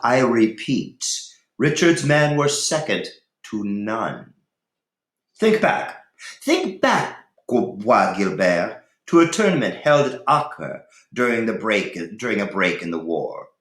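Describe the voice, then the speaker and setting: Guy doing a defiant mysterious pilgrim, storybook style, emphatic, lively pitch, roomy. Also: slow pace, exaggerated voice. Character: bold, loyal hooded male pilgrim, quietly defiant. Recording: roomy, echoey room.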